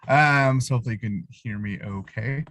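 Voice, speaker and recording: A man introducing himself on a video call from his parked car, relaxed and reassuring, with many fillers. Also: mid-range voice, fairly quick, no echo. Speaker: associate program director.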